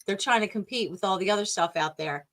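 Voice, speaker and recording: Woman, podcast host, casual, chatty, encouraging, mid pitch. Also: fast talker, fairly bright tone. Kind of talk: casual conversation.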